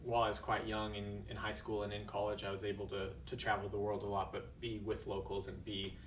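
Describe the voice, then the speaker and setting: A man in his twenties from the United States, talking as an interview guest in a thoughtful, measured way with frequent fillers. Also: lowish voice, completely flat delivery, echoey room, far from the mic, a little hiss or hum, poor audio. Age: 20s. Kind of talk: casual interview.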